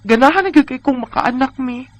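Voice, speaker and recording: A woman's voice: high voice, animated, fairly quick. A woman in her thirties with a Bisaya Filipino accent, playing a weary, discontented wife in a plaintive, sighing way for a radio drama. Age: 30s. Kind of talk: Bisaya radio drama acting and letter narration.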